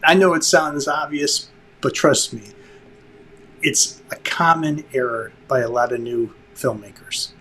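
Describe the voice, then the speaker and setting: A man's voice: mid-range voice, fairly even intonation, measured pace, a bit bright, slight background noise, low-quality recording. A man presenting an instructional lesson to viewers in a conversational, emphatic way, like a director explaining the basics.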